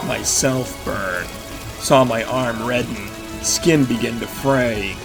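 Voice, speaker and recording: A man's voice: mid-range voice, slow pace. An actor playing a battered vigilante who narrates his own story in intense, clipped phrases, in the style of an audio drama. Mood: grim, tense. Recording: music behind the voice. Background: dramatic music.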